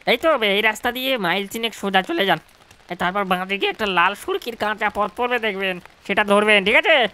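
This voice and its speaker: Man acting a high-voiced, secretive village man. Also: lively intonation, a little fast, sharp tone, clean tone. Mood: helpful, cautioning. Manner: folksy, tag questions, secretive warning tone. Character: village man pushing a bicycle, mysterious, cautioning local. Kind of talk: audio horror story acting.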